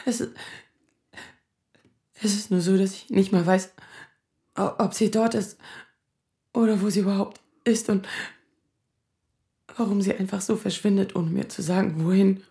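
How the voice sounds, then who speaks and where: A woman's voice: mid-range voice, fairly even intonation, creaky, mellow, echoey room, lightly acted. An actress playing a distraught woman in her thirties in an audio drama, speaking in anxious, stammering bursts. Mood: evasive, upset. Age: 30s. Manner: halting, stammering. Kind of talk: naturalistic audio drama acting.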